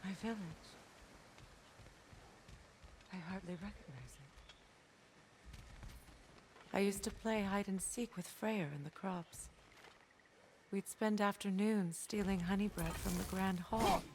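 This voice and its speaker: A woman acting the wounded, proud goddess who guides a companion through a game's wild valley, mid-pitched and formal over clearly audible outdoor ambience. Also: steady tone, background noise, lightly acted. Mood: wistful. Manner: earnest, measured, formal phrasing. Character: proud, sorrowful goddess warrior, bitter yet watchful. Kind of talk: dramatic video game acting.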